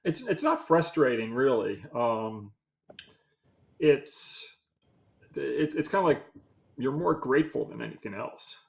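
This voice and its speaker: Handicapper guest, male, mid-pitched, gritty fry in the voice, unhurried and self-effacing. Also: very animated. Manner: halting, modest, many fillers. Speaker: sports handicapper. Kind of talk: casual interview talk.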